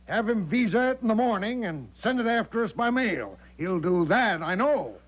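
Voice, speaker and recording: An actor playing a big, bluff, overconfident American major who breezily sweeps objections aside in a 1970s radio drama. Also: male voice, high-pitched, sing-song, moderate pace. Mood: breezy, insistent. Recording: dull vintage radio recording.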